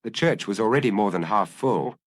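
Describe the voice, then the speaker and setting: A man narrating a detective story as an audiobook, in a measured, literary storytelling manner. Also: fairly low pitch, expressive, no room sound.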